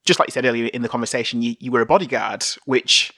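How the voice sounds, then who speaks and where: A man hosting a podcast interview over a video call, enthusiastic and affirming, quick to laugh. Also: mid-range voice, sharp tone, no echo. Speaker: podcast host.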